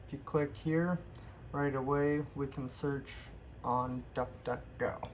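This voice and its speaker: A man hosting a tech tutorial, calmly explaining each step of a computer walkthrough with occasional ums. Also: medium pitch, a little hiss or hum. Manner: unhurried step-by-step explaining with fillers. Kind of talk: screen-recorded how-to walkthrough.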